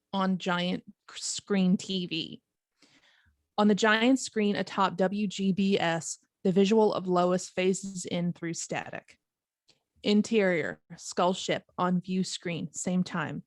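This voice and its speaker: A woman's voice: lowish voice, no room sound, clean studio sound. A woman narrating screenplay stage directions at an amateur table read.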